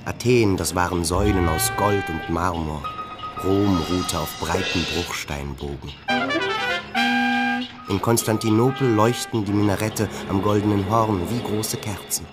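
A man's voice: deep voice, unhurried, over loud music. A man giving a scripted literary narration for a radio play.

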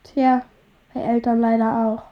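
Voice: medium pitch, flat delivery, unhurried, muffled recording, lightly acted. A performer in a radio drama playing a sullen, brash ten-year-old boy, terse and defiant. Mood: weary, accusing.

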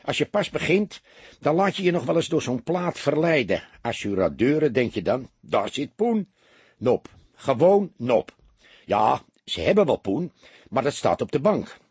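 A small-time burglar played by a male reader chatters away in a very husky, rough-edged voice. He is boastful yet jumpy and wary, with lively swings in pitch, like a storybook rogue. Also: measured pace, no echo, full character voice. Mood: chatty, boastful. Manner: chatty, colloquial, hesitant when cornered.

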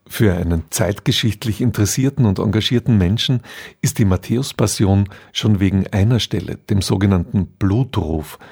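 A man reading a scripted radio introduction in a measured, formal, explanatory manner. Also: lowish voice, darkish tone, husky. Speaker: radio presenter introducing a radio drama.

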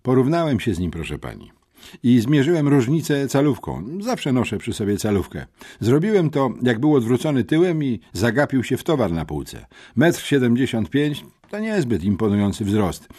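Mężczyzna grający rezolutnego, drobiazgowego uczniaka-detektywa. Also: male voice, mid-range voice, sing-song, moderate pace, warm tone, pitched above the performer's own voice. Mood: eager, precise. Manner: meticulous, matter-of-fact, eager. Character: earnest, pedantic, talkative schoolboy amateur detective. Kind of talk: audiobook character reading.

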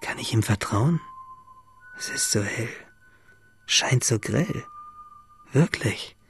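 A husky, gravelly shipwrecked young seaman. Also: mid-range voice, animated, takes it slowly, exaggerated voice. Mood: exhausted, desperate. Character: shipwrecked young seaman, swimming in darkness, wistful, tender. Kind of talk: radio drama monologue.

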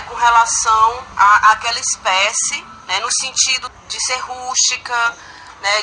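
A woman's voice: fairly high pitch, pushed and bright, poor audio. A woman explaining as an expert interviewee, repeating herself and adding frequent tag questions. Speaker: agronomist interviewee. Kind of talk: spontaneous explanation.

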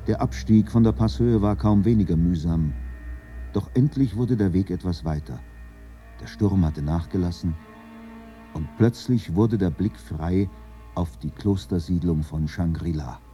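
A man narrating a radio drama in a measured, scripted storytelling manner. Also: low-pitched, warm tone. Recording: music behind the narration.

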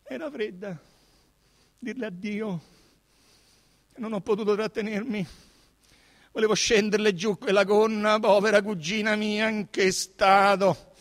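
A man's voice: high voice, fairly even intonation, a little slow, pauses often, voice pushed up. An actor voicing an anxious young man with a Roman accent, stammering and imploring as he justifies himself as a suspect in a radio drama. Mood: distressed, pleading. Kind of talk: dramatised radio literary reading.

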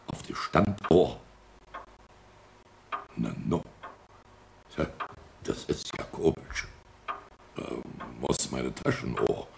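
A stern old schoolmaster for a radio drama, very deep and gravelly. His halting, formal muttering swings widely in pitch, pompous yet easily flustered. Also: a little hiss or hum, heavily acted. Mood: flustered, embarrassed. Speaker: actor. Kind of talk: German radio play acting.

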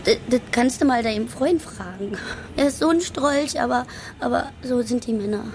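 A woman's voice: on the high side, moderate pace, background noise, close to an everyday voice. An actress playing a jilted, sulky young working-class woman in a radio drama, hesitant and stammering. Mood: hurt, indignant.

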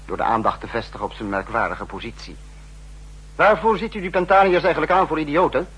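A man's voice: on the high side, expressive, fast, a bit bright, husky, full character voice, low-quality recording. A man acting a contemptuous, sarcastic leader of a spy state in a 1960s Dutch radio drama, commanding and biting. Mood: scornful, angry.

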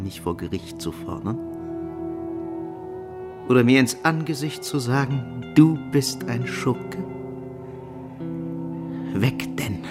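An actor playing a cruel, scheming young nobleman in a radio play monologue, theatrical and menacing. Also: on the low side. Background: loud plucked guitar music.

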